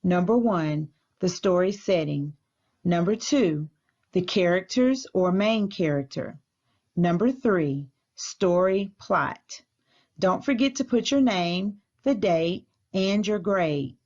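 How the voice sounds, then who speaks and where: A woman school librarian reading aloud and giving instructions to children in a deliberate, teacherly way. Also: on the low side, lively intonation, darkish tone, muffled recording. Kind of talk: storybook read-aloud and activity instructions.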